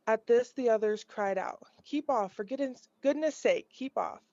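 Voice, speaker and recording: A woman's voice: a little high, normal speed, warm tone, no room sound. Woman reading a storybook, dry dull sound. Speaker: storybook reader. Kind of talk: scripted children's story read aloud.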